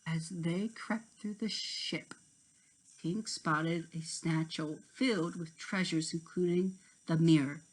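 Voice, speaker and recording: A woman narrating a scripted children's story deliberately, with dramatic pauses. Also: low voice, fairly even intonation, rough recording. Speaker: storybook reader.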